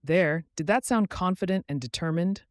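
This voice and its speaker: Man reading a sarcastic young woman, high-pitched. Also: normal speed, no echo, studio quality, natural voice. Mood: resolute. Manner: sarcastic, emphatic. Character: young engaged woman, sarcastic, torn, self-rehearsing. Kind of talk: audiobook story dialogue.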